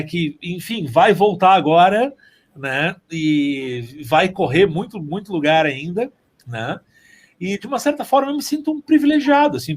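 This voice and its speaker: Homem gaúcho de voz mais aguda. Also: male voice, on the high side. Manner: often tags phrases with "né". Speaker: interview guest, musician and music teacher. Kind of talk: casual conversational storytelling.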